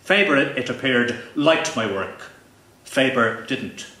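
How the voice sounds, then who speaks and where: A man giving a live comic reading from his novel in a pompous, deadpan, mock-grandiose manner. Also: mid-range voice, slow pace. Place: live event venue. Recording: roomy, distant live recording with faint noise.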